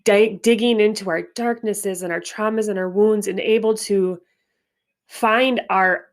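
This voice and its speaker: A stuffy-nosed woman hosting a spiritual wellness podcast, talking in a reflective, unhurried way with frequent restarts. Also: mid-range voice, fairly even intonation. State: stuffy nose. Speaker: podcast host.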